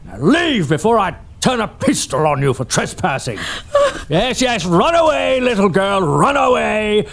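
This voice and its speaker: A man voices a domineering old scholar in an audio drama, gravelly and husky, his pitch swinging widely. He talks down to everyone with pompous cruelty, and faint music plays underneath. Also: big pitch swings, measured pace, full character voice. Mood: manic gloating. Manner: pompous, cruel, bursts into manic shouting. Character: obsessed greedy old male archaeologist, tyrannical.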